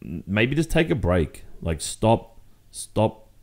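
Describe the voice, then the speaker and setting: A man with an Australian accent acting a jealous, exasperated boyfriend confronting his partner in a conversational roleplay monologue, halting and insistent. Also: lowish voice, sing-song, a little mellow, exaggerated voice. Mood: restrained, exasperated.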